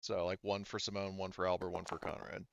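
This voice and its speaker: A man's voice: sits low, level tone, fast, vocal fry, dry recording, dull audio. A man narrating casually and with some swearing as game master of a tabletop roleplaying game.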